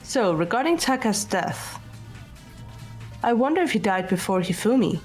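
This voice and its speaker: A woman playing a composed, logical student detective in an amateur game dub, speaking in a measured way over music. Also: medium pitch, big pitch swings, close to an everyday voice. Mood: calm, analytical. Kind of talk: scripted amateur visual novel voice acting. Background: background music.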